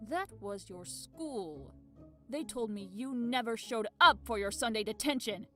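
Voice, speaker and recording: A woman's voice: on the high side, animated, a little slow, bright, forceful tone, soft background music. An actress playing a stern, middle-aged mother scolding her teenage son in a visual novel game, commanding and emphatic. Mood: cold, stern.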